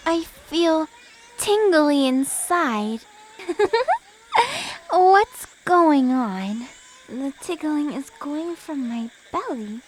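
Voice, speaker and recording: Audio drama goddess: very high girlish voice, tipsy, playful, drawn-out slow delivery. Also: a little hiss or hum, exaggerated voice, poor audio. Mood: giddy, confused. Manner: drunken drawl with giggles. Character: tipsy, giggly, childishly playful goddess in disguise.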